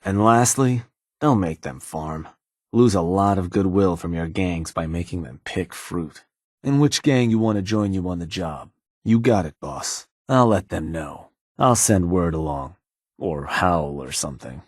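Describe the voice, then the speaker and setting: A man's voice: sits low, expressive, measured pace, no room sound. An actor playing a laid-back male raider fixer who takes orders from his boss, speaking dry and matter-of-fact in video game dialogue. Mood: businesslike. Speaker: gang fixer taking orders.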